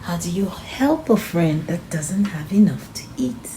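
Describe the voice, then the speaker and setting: A woman reading a picture book aloud for children in an expressive, encouraging way, speaking directly to her young listeners. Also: fairly low pitch, measured pace, slight background noise. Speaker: children's read-aloud channel host.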